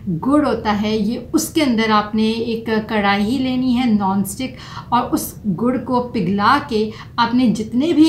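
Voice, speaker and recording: A middle-aged woman presents health advice in an explanatory way, dropping in English terms. Her voice sits slightly high. It is recorded in an echoey furnished room with faint noise behind her. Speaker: doctor presenting home remedies. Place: small furnished room indoors. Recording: roomy echo, faint background noise.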